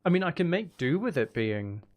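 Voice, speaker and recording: A man with a gravelly voice giving casual, rambling, sweary commentary as he thinks aloud while playing a video game. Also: a little high, sing-song, normal speed, a little mellow. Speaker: gaming video host.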